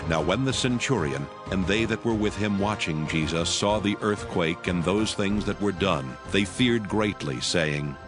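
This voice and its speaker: Deep solemn male scripture narrator. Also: over music. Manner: solemn, measured reading.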